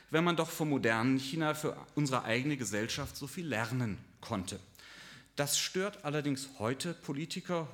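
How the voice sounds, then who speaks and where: An older man, a professor, giving a formal lecture in a measured, dryly ironic way, sometimes halting on fillers. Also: mid-range voice. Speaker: professor of Chinese studies.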